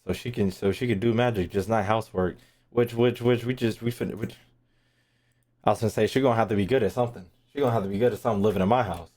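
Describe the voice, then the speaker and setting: A man's voice: on the low side, quick pace, a little mellow. A man doing casual livestream commentary on a game, slangy and sweary, muttering short reactions. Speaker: streamer reacting to game lore.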